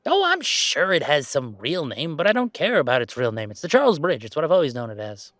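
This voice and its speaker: A man playing a laid-back, self-deprecating young gamer in a roleplay audio drama, chatting in a halting, playfully exasperated way. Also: a little high, big pitch swings, a bit bright, no echo, clean studio sound. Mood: animated. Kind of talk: roleplay audio drama, casual one-sided conversation.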